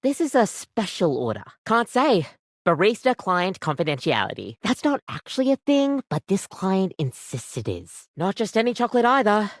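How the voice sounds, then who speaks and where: A woman's voice: medium pitch, big pitch swings, moderate pace, no echo, studio quality, lightly acted. A woman voicing a friendly, chatty coffee maker come to life as a barista in a video game, with bubbly, slightly awkward customer patter. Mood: chatty, wry. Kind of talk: animated video game voice acting.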